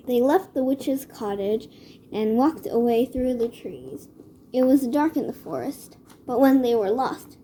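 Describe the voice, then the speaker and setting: A woman narrating a children's story from a script in a measured read-aloud manner. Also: a little high, mellow, slight background noise, rough recording.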